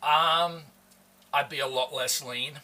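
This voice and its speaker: A man, his voice bright and forceful, sits a little back from the microphone. He chats loosely and teasingly with his stream audience while eating. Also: medium pitch, steady tone, takes it slowly, recorded from a distance. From born in Hong Kong, raised in Australia. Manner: teasing, joking with chat. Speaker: livestreamer. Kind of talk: casual live-stream chat while eating.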